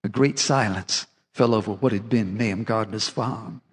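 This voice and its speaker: An actor playing an old American farmer, bitter and unhinged, telling of horrors in a folksy, intense audio drama style. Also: male voice, on the low side, normal speed, husky. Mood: grim, haunted. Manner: folksy, intense storytelling with theatrical swells.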